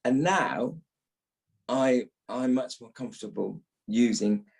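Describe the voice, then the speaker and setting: A man's voice: mid-range voice, slow pace, creaky, a little mellow. A middle-aged or older British man, an actor being interviewed, talking reflectively and repeating phrases as he thinks.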